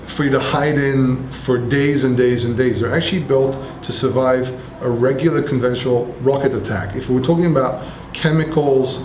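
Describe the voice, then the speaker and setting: A man in his thirties with an Israeli accent, explaining things in a relaxed, hesitant way with many fillers. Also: medium pitch, a little hiss or hum. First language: Hebrew. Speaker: interviewee. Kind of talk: casual explanatory talk. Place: bomb shelter in an apartment building. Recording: echoey concrete room.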